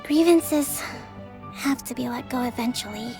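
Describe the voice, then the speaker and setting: An actress voicing a gentle young island leader in an anime game, speaking in a formal, measured, diplomatic way. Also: female voice, a little high, music in the background. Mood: composed, reflective.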